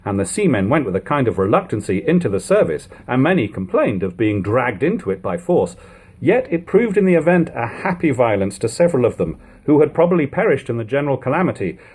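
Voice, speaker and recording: Expressive, husky male audiobook narrator. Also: medium pitch, a little fast. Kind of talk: dramatic audiobook reading of 18th-century prose.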